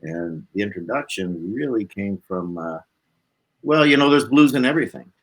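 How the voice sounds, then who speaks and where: A man's voice: on the low side, animated, moderate pace, rough recording. A man, chatting informally as an interview guest and rambling through his stories. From Canada. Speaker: guest, blues musician. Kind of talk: casual interview chat.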